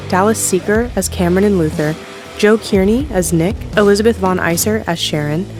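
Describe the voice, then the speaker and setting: A woman reads the end credits in an even, matter-of-fact way. Her voice sits a little low, and music plays clearly behind her. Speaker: credits announcer. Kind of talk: scripted credits reading.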